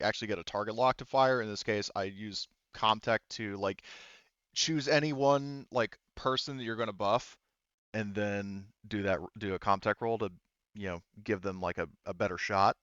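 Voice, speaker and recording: Man, game master, lowish pitch, halting casual explanations, dry slightly muffled recording. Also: fairly even intonation, fairly bright tone, clean tone, dry recording. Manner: halting, explanatory, repeats himself. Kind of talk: casual tabletop game refereeing.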